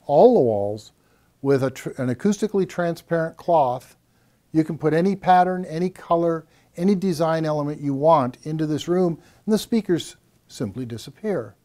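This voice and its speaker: An older man giving an unscripted, colloquial product pitch as a co-presenter, in plain salesman's language. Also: warm tone. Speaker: co-presenter of a home theater system. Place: padded, treated listening room indoors.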